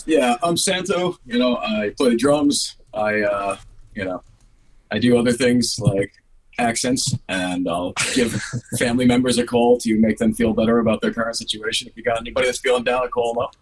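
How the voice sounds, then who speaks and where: A man who plays drums in a band, soft-voiced, joking around during a casual interview. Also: mid-range voice, animated, slightly rough, low-quality recording. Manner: jokey, quick with wisecracks. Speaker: band drummer.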